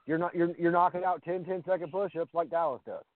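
A man in his late thirties with a slightly high voice speaks in a motivating, coach-like way. The line is dry and narrow, like it comes through a phone. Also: steady tone, a little fast, dry recording. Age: 30s. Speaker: radio show co-host. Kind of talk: casual radio chat.

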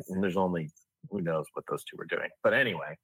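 A man with a fairly low, husky voice co-hosts a podcast. He spins an anecdote conversationally, laying it out step by step. The sound is dry and close. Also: brisk, fairly bright tone, dry recording, rough recording. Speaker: podcast co-host. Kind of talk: casual anecdotal storytelling.

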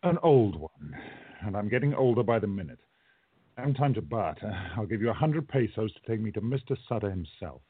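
Man acting brusque time traveller, husky, lively swooping delivery, radio play hero. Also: on the low side, a little fast, no room sound. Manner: brusque, emphatic. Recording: dry, tinny phone-like line.

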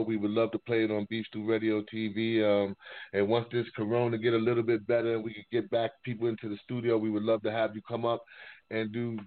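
A man's voice: fairly low pitch, dry recording. A man hosting a casual internet radio interview, laid-back and slangy, often repeating his phrases. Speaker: internet radio show host. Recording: muffled phone-like line.

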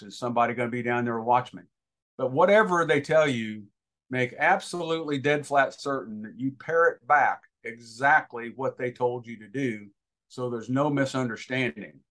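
A man's voice: mid-range voice, a bit bright. A man giving informal instruction as a guest expert, talking in an emphatic, advisory way.

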